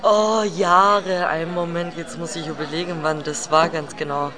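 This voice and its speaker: Woman chatting, thinks out loud, crowd noise all around. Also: lowish voice, flat delivery, moderate pace. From Germany. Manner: thinks aloud, chatty, restarts mid-sentence. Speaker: interview guest. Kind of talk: casual chat. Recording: voice among a crowd.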